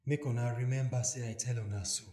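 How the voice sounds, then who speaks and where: A Nigerian man voicing a calm, reassuring angel in a scripture story, soft and solemn. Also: mid-range voice, fast, close to an everyday voice. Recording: echoey voice recording with music.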